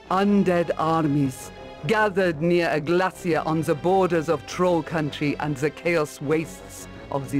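An actor playing a grave, regal ruler of a northern empire in a fantasy game, telling things in a solemn, measured way. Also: male voice, on the high side. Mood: grave, urgent. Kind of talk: fantasy video game cutscene acting. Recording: loud music under the voice.

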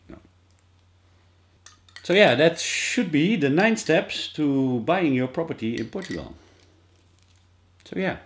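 Dutch man, low voice, hesitant. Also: fairly bright tone. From Netherlands. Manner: hesitant, many fillers and restarts. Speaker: vlogger. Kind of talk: casual informative vlog. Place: small indoor office with whiteboard.

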